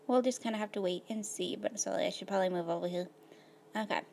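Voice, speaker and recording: Woman a bit hoarse, chatting laid-back thru a sim game, lots of ums and likes, mid pitch. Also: steady tone, fast, a little mellow, a little hiss or hum. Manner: rambling, filler-heavy, laid-back. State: slightly hoarse, losing her voice. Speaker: gaming video host. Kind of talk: casual gameplay commentary.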